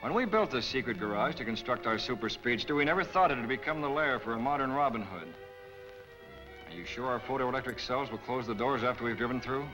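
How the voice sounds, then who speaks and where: A male actor plays a confident masked crime fighter from a 1940s film serial. His delivery is bold and commanding, with lively swings of pitch. Music runs behind him on an old film soundtrack. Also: brisk, close to an everyday voice, low-quality recording. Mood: eager, confident. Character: bold, commanding male newspaper editor turned masked avenger.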